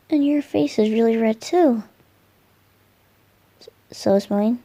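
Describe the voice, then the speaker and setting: A gentle, boyish young spirit in love, played by a woman, with a high, mellow voice. He murmurs softly and hesitantly, in the intimate style of a romantic ASMR roleplay. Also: exaggerated voice. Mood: shy, affectionate. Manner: soft, tender, breathy murmurs with hesitant pauses.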